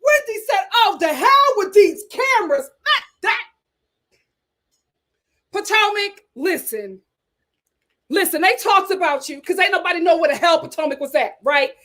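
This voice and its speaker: A woman's voice: very high-pitched, expressive, moderate pace, pauses often, fairly bright tone. A woman hosting a casual reality TV reaction chat, talking back at the screen. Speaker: reality TV commentary host.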